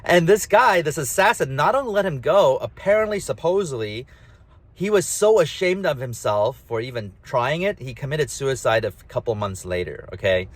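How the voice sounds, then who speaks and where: A man with a nasal, slightly mumbly voice talks casually on his own, full of fillers and emphatic asides. His pitch sits in the middle for a man. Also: animated, moderate pace. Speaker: host. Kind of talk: rambling solo talk.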